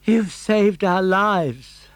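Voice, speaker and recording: A man's voice: high-pitched, drawn-out words, clean tone, no room sound, pitched above the performer's own voice. A man voicing an earnest, polite young boy puppet in an eager manner for an audiobook. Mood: grateful, caring.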